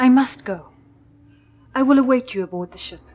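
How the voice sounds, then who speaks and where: A woman's voice: high-pitched, normal speed, noisy background, lightly acted. An actress playing a wary, restrained imperial lady in a video game cutscene, formal and guarded. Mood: strained, evasive.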